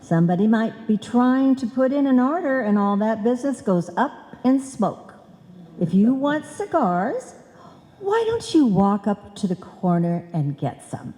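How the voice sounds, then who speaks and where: An actress playing a scatterbrained, bossy wife who earnestly mangles sayings in an old-time radio comedy. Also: female voice, on the high side, big pitch swings, a little slow, warm tone. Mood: chiding. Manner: earnest malapropisms, chiding. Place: on stage in a live performance. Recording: live hall recording, long reverb.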